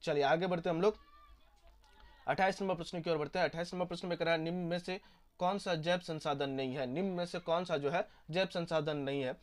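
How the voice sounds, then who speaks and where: A man's voice: on the high side, steady tone, fast. A young man, walking students through exam questions and repeating each answer. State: hoarse. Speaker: teacher.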